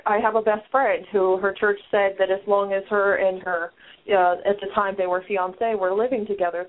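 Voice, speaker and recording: Brief: female host, earnest, mid-pitched, phone-quality audio. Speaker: podcast host. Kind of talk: casual call-in discussion. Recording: tinny phone-line audio.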